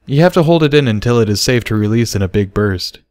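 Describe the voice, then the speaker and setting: A man's voice: mid-range voice, expressive, fast talker, darkish tone, smooth, no room sound, natural voice. Guy doing a grown-up boy pony in a story, bit awkward, careful explainer, dry recording. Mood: gentle, explaining. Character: awkward, careful adult male pony mentor.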